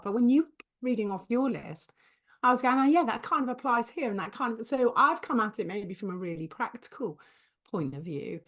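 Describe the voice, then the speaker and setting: Woman podcast host, husky hoarse voice from a cold, chatty and enthusiastic. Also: medium pitch. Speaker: podcast co-host, business coach. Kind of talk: conversational podcast chat.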